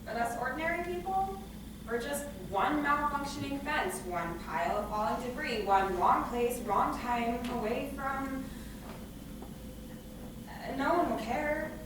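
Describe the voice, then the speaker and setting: A very echoey, noisy live recording of a stage reading. An actress plays a nervy, stubborn young woman with a fairly high voice. She rambles emphatically, dreading every possible disaster. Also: a little slow. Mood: fearful, insistent. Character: anxious young woman, dramatic, stubborn, afraid to leave her room. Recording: echoey room from far off, noisy.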